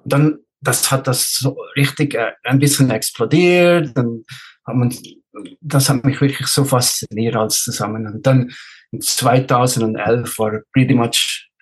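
A man's voice: mid-range voice, unhurried, husky, low-quality recording. A man with a Swiss German accent chatting casually, searching for words and mixing in bits of English. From Switzerland. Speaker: interview guest, ultrarunner and race director. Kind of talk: casual chat, telling anecdotes.

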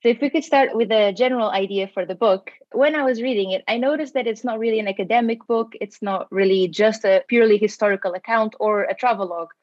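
A woman's voice: mid-range voice, darkish tone, no room sound, highs cut off. A woman interviewing an author, asking friendly, structured questions. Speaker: podcast interviewer.

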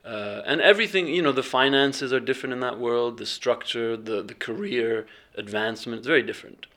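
A man with a middle-pitched voice talks in a relaxed interview. He is reflective and halting, often breaking off and restarting as he searches for words. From England, raised partly in Bahrain. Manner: hesitant, reflective, many restarts and ums. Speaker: filmmaker and fellowship alumnus.

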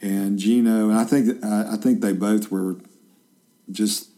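A man's voice: lowish voice, fairly even intonation, a little slow, warm tone. A man in his fifties, a journalist hosting a casual interview, chatty and rambling with lots of fillers and restarts. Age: 50s.

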